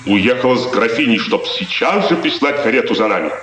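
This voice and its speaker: A man's voice: medium pitch, expressive, fairly quick, fairly bright tone, close to an everyday voice. An older actor playing a proud, stern, hot-tempered father and colonel, grave and abrupt, in a 1950s radio play. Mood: stubborn, indignant. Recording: noisy, roomy 1950s radio recording.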